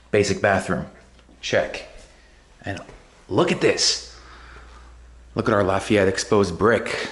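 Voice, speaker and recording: A man talking casually into his camera as a travel vlogger, laid-back and sometimes swearing. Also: fairly low pitch. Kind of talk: casual travel vlog with chat.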